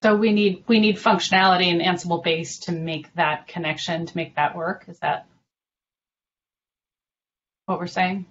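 A woman explaining things informally in an online meeting, measured and a little hesitant as she goes. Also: on the low side, fairly even intonation. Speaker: documentation working group member presenting. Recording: dull lo-fi online call with faint noise.

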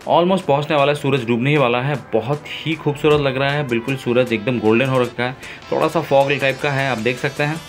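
A man narrating his own travel vlog in a relaxed, enthusiastic way, pausing often with fillers, filmed on a phone outdoors on a river boat. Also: mid-range voice, soft background music. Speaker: travel vlogger.

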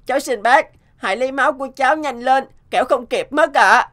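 A woman voicing a gentle, earnest young boy, a protective older brother, in a cartoon dub. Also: high-pitched, brisk. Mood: pleading, worried. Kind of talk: dubbed cartoon dialogue.

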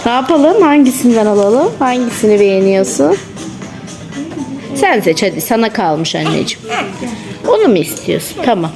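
A woman's voice: on the high side, very animated, moderate pace, pauses often. A woman chatting casually as a mother on a shopping vlog, coaxing her child playfully with lots of questions. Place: inside a busy clothing shop. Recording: store music behind the voice. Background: loud store music.

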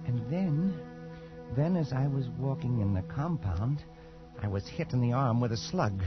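An actor playing a haunted but defiant colonial adventurer, telling his tale with tense dramatic pauses in old radio style. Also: mid-range voice, lively intonation, close to an everyday voice. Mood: grim, brooding. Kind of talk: 1940s radio acting, dramatic first-person narration. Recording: dull old radio recording, faint music.

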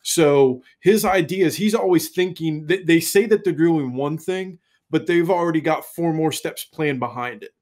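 Chill co-host guy, a bit higher voice, rambly casual talk. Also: normal speed. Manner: relaxed, rambling. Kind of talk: casual podcast chat. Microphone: headset.

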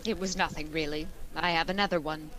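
A woman playing a sardonic high school English teacher with dry, deadpan delivery in an old-time radio comedy. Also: fairly quick, very noisy, lightly acted. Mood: dry, wry.

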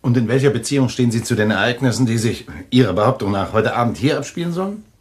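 A man's voice: medium pitch, expressive, fast talker, natural voice. An actor in a German radio play playing a sceptical, scornful man who reasons dismissively.

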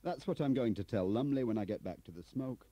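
A man's voice: extremely low pitch, animated, normal speed, warm tone, husky, no echo, full character voice. A man voicing a blunt, bitter British investigator in an audiobook, with a sarcastic, needling manner. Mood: sardonic, goading.

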